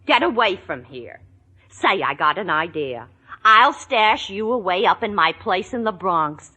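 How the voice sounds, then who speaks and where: A woman's voice: medium pitch, expressive, measured pace, pushed and bright, husky, heavily acted. A woman playing a bossy, cynical, streetwise girlfriend with slangy, pushy talk in a 1940s radio comedy. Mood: bossy, scheming. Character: brassy, bossy, cynical wisecracking city girlfriend.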